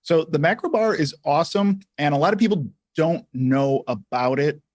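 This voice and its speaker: Higher-voiced man, close dry sound, explaining casually. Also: animated, normal speed, dry recording. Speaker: livestream co-host. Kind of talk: casual explanatory tutorial talk.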